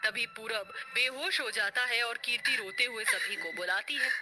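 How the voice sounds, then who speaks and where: A woman's voice: fairly high pitch, normal speed. A woman narrating a scripted, melodramatic story as the narrator. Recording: music bed under the voice.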